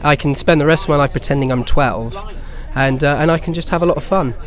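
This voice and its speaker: A man's voice: fairly high pitch, quick pace. A man in his twenties from southern England with an English accent, answering interview questions casually as an actor. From Bournemouth, England. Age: 20s. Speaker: pantomime actor.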